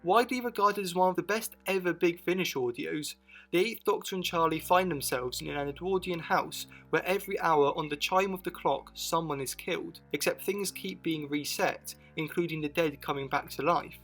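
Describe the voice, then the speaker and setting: Higher-pitched male video essay narrator. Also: normal speed, fairly bright tone. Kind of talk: scripted retrospective narration with personal opinions. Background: music bed.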